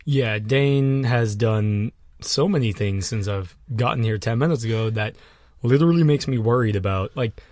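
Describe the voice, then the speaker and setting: A man's voice: medium pitch, moderate pace, a little mellow, dry recording. A weary man tells long, joking stories as co-host of a chatty podcast. His voice sits in a middling register, recorded close and dry with no room around it. He wanders from one tangent to the next. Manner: rambling, jokey storytelling. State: tired. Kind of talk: casual banter. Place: small home room.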